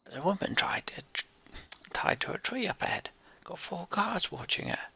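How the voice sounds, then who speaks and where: Man putting on an urgent, high-pitched guard. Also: big pitch swings, moderate pace, vocal fry, bright, forceful tone, husky, whispered, heavily acted. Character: agitated male guard reporting a witch.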